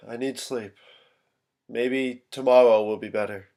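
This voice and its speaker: A man's voice: medium pitch, fairly even intonation, slow, mellow. An actor playing an anxious, unravelling young man in a staged play reading, hesitant and stammering. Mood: worried.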